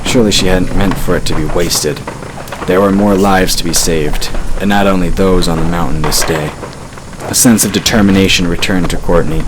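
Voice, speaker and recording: Male narrator, very low register, dramatic fantasy storytelling, noticeable background noise. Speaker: audiobook narrator. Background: ambient sound effects.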